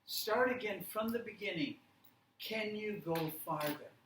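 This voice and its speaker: A man leads a class in a slightly high-pitched voice, speaking very slowly in short, simple directions. He repeats key steps and checks with his listeners. The room is echoey and he sounds some distance from the mic. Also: noisy background. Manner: deliberate, simple phrasing with repeated instructions and check questions. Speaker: language teacher leading a workshop. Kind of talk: live classroom instruction with simple step-by-step directions. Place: indoor classroom or presentation room.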